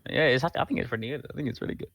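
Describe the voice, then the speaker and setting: A man's voice: mid-range voice, rapid-fire, fairly bright tone, dry recording. A teenage boy from Spain with a Madrid Spanish accent, co-hosting a casual podcast chat, stammering and filling gaps with "you know". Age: teens. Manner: stammering, restarts often, says "you know" a lot. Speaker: podcast co-host.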